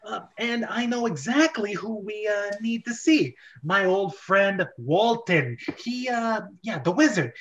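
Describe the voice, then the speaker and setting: Nervous little bluffer, played by a man: raised pitch, stammering sidekick, slightly muffled sound. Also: lively intonation, unhurried, few pauses, a bit bright. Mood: flustered bluffing. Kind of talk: amateur dramatic script reading.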